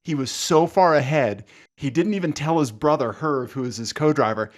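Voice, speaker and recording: A man chatting casually as a podcast guest, excitable and swearing freely, his voice climbing high when he gets worked up. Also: normal speed. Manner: swears freely, rambling car enthusiast. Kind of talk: casual chat.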